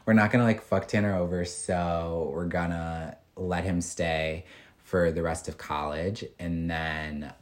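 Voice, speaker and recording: A man's voice: sits low, fairly even intonation, a little slow. A young man co-hosting a podcast, telling stories in a rambling way full of "like" and "um". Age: young adult. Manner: rambling, filler-heavy storytelling. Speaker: podcast co-host. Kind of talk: casual chat.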